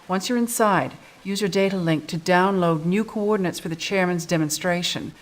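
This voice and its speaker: A woman's voice: on the low side, normal speed, natural voice. A woman in her thirties playing a stern, businesslike resistance officer, giving a serious briefing in a video game cutscene. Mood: grave, urgent. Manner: serious, clipped briefing delivery.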